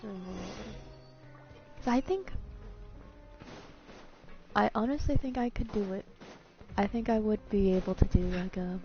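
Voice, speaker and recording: A woman's voice: medium pitch, slow, gravelly, pauses often. A young woman chatting casually and musing aloud as she streams a video game. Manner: musing, informal. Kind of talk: casual chat while gaming. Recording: slightly dull stream audio, game music. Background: faint game music.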